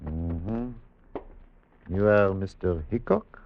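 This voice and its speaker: An actor playing a cautious French tobacconist and secret agent in a 1950s radio drama, polite, formal and guarded. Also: male voice, low voice, slow pace. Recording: dull old radio recording with noise.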